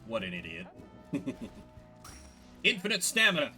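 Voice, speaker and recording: An excitable male streamer chatting casually through a game. His voice is fairly high, bright and very animated, with faint music behind. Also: a little slow. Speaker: streamer playing and voicing a video game. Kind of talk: casual gameplay commentary.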